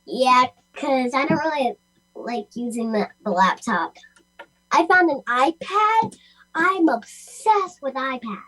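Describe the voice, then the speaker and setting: A young schoolgirl with a high voice, talking casually. She is eager and repetitive, circling back over her words as she explains. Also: animated, takes it slowly, pushed and bright, low-quality recording. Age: child. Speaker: young participant. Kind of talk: casual chat.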